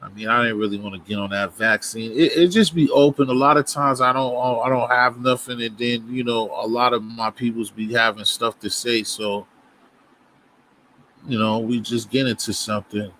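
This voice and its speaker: A man's voice: mid-range voice, steady tone, moderate pace, sharp tone, a little hiss or hum, poor audio. A man from Boston hosting his own livestream, chatting loosely with viewers and greeting them as they come in. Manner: loose, rambling talk to viewers, frequent restarts. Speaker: livestream host. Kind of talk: casual livestream chat.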